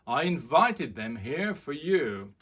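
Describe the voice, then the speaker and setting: Brief: proud storybook king and father, done by a man, booming, formal, slow. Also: big pitch swings, fairly bright tone, slightly rough, full character voice. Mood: proud, delighted.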